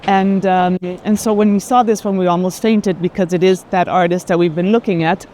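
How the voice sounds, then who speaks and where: A woman with a Colombian accent, speaking thoughtfully in conversation on an art fair tour, often pausing and repeating words. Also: on the low side, fairly even intonation, dark tone. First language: Spanish. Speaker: art advisor. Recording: faint urban ambient noise.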